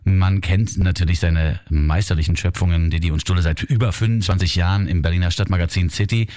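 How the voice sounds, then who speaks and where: A man's voice: deep voice, steady tone, brisk, darkish tone. A man hosting a radio interview in a studio, easygoing, laughing along and asking long winding questions. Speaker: radio host. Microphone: studio microphone.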